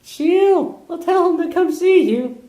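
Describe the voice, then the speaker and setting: Puppet-show nurse played by a man: very high, loud, flippant, slangy, echoey room. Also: takes it slowly. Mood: breezy. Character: flippant female nurse, shrill and offhand.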